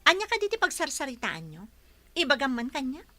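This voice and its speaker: A sharp, high-voiced bossy madam. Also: female voice, wildly swooping pitch, brisk, exaggerated voice. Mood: suspicious, irritated. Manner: sharp, demanding, interrogating. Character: bossy, jealous lady of the house Salud. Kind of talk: Philippine radio drama acting.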